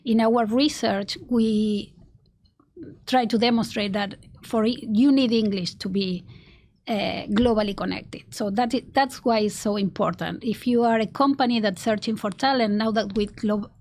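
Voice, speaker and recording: A woman's voice: medium pitch, moderate pace, a little hiss or hum. A woman from Argentina with an Argentine Spanish accent, a native Spanish speaker, giving earnest interview answers with many restarts. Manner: earnest, with frequent restarts and soft laughs. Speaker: marketing executive guest.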